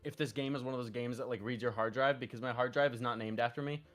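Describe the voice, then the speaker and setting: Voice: mid-range voice, steady tone, fast talker, faint music behind. A streamer chatting casually and informally with swearing while playing a game and reading its text aloud. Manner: profane, informal. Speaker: host, streamer.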